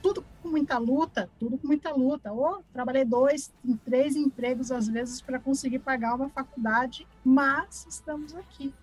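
A woman's voice: sits high, moderate pace, few pauses, a little mellow, husky, low-quality recording. A woman with a Brazilian accent giving casual, chatty and encouraging answers as an interview guest. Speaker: interview guest, author and art teacher.

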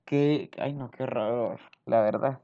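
A young man hosting a podcast, reacting in a casual, expressive and colloquial way. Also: medium pitch, takes it slowly, vocal fry. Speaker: podcast host. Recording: dull, lo-fi sound.

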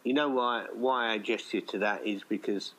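A man in middle age speaks in a fairly low register. He chats informally as an interview guest, with a rambling, anecdotal way of explaining himself. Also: moderate pace, fairly bright tone, rough recording. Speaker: writer-director being interviewed. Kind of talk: casual chat.

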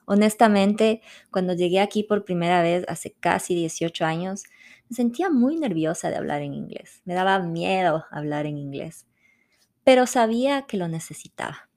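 A middle-aged woman from Ecuador, calmly narrating a scripted personal story as a podcast host. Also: on the low side, normal speed.

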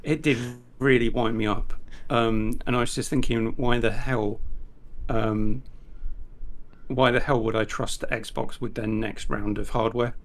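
An English man talks in a loose, rambling way, pausing often on ums before landing blunt complaints. His voice has a gravelly, rough edge. His pitch sits in the middle of a man's range. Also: steady tone. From England. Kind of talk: casual chat.

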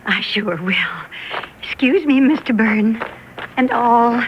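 A woman's voice: on the high side, slow pace. A woman playing a meek, anxious frontier wife in a Western radio drama, speaking hesitantly and nervously. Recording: muffled, noisy radio recording.

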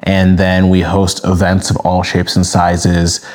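A man in his thirties from Nigeria, raised in Minnesota, answering interview questions in a relaxed, steady storytelling way. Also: low-pitched, measured pace. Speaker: guest, media brand co-founder.

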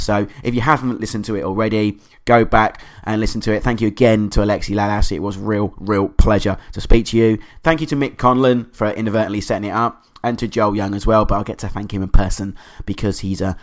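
A man hosting a podcast, hoarse from a cold, chatting in an enthusiastic, rambling way. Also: fairly low pitch, steady tone, quick pace. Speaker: podcast host. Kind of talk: casual podcast monologue.

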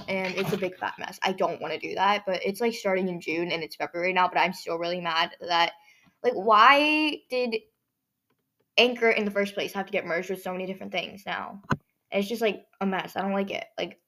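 A teenage girl hosting her own podcast in a rambling casual chat, her voice hoarse and scratchy from overuse. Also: medium pitch, a bit bright. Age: teens. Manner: rambling, many fillers and restarts. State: hoarse, strained voice. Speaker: podcast host.

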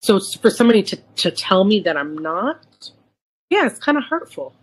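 A woman's voice: medium pitch, sing-song, moderate pace, husky. A middle-aged woman in casual conversation, candid and emphatic, repeating words for emphasis and sometimes mixing in Spanish. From San Francisco, United States. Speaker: podcast co-host.

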